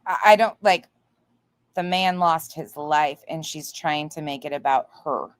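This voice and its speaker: A woman's voice: low voice, unhurried, dry recording. A woman hosting a livestream, reacting and chatting to viewers in a blunt, indignant, sweary way. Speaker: livestream host.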